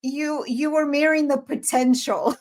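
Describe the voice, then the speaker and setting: Woman, podcast co-host, slightly high voice, chatty and animated, laughs a lot. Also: a little slow. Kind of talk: casual chat.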